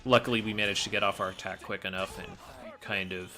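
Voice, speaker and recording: A man's voice: low voice, steady tone, fast talker. A man giving explanatory commentary as he plays through a video game speedrun.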